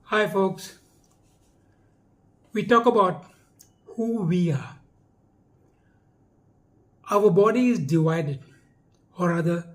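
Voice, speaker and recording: A man with a notably high-pitched voice gives an explanatory talk. He speaks slowly and deliberately, stressing key words as he presents ideas in simple terms for viewers.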